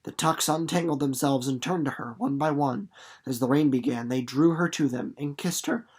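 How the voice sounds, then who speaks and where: Male teacher-narrator, medium pitch, animated and folksy, moving easily between dramatic reading and playful plain-spoken explanation. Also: moderate pace, breathy edge. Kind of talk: expressive storybook reading and casual explanation.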